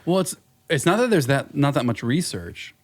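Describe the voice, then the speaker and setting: A man's voice: a little high, big pitch swings, normal speed. A man, a naturalist guest in casual chat, sarcastic and rambling with lots of "like.".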